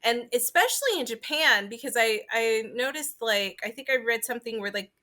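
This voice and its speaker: A woman co-hosting a podcast in casual chat, halting and leaning often on filler words. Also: fairly high pitch, lively intonation, bright, forceful tone. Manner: halting, filler-heavy with frequent "like". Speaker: podcast co-host.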